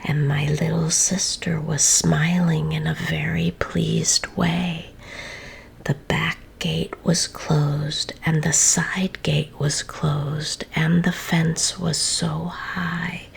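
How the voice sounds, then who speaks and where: A woman reading a children's story aloud in a soft, gentle whisper. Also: sits low, flat delivery, takes it slowly, vocal fry, slight background noise.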